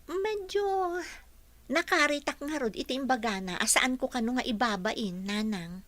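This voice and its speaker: A woman plays a young housekeeper in a radio drama. She is respectful yet sure of herself, with a bright, fairly high voice recorded dry and close. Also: moderate pace, no room sound. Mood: earnest. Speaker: voice actress in a radio play. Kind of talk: Ilocano radio comedy drama acting.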